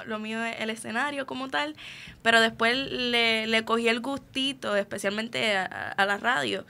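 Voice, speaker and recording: A woman's voice: on the high side, creaky, sharp tone. A young woman with a Puerto Rican accent answering conversationally as an interview guest, repeating words as she explains. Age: young adult. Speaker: student guest on a radio show.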